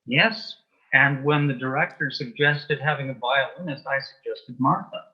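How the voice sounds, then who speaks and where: A man's voice: medium pitch, moderate pace, dull audio. A middle-aged man reminiscing as an interview guest in a precise, matter-of-fact, dryly humorous way. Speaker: guest, author and playwright. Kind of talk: casual reminiscing interview chat.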